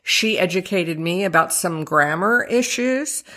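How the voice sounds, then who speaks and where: A woman's voice: on the low side, lively intonation, a little slow, fairly bright tone. A woman in her sixties, an author from Virginia, talking in a radio interview, thoughtful and hesitant with many fillers. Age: 60s. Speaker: author guest.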